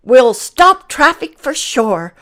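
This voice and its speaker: A woman voicing a group of vain, jealous female farm hens for a storybook, with high squawking chatter and clucking noises. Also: sing-song, takes it slowly, a bit bright, exaggerated voice. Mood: excited.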